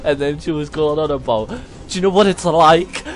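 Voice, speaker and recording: A man's voice: fairly high pitch, moderate pace. A young British man telling anecdotes in casual, slangy, bantering chat with a friend. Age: young adult. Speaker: video host telling stories. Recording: music behind the voice. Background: music.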